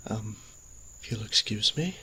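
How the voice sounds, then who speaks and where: A man playing an anxious, gentle, polite churchman in a fantasy audio roleplay, speaking hesitantly and softly with a husky, breathy voice. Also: fairly low pitch, animated, slight background noise, full character voice. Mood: nervous, caring. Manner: hesitant, halting, soft-spoken, apologetic.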